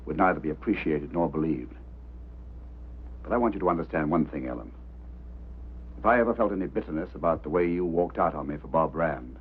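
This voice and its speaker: An actor playing a stern, embittered man in charge of a search, firm and authoritative, in a mid-century film drama. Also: rumbling low. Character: stern, embittered male boss of a search operation. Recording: muffled, band-limited old film soundtrack, faint hum.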